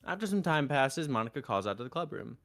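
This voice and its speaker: Mid-pitched man reading a visual novel aloud. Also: very animated, fast talker, a bit bright, soft background music. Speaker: streamer reading a game aloud. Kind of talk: visual novel read-aloud, narration and dialogue.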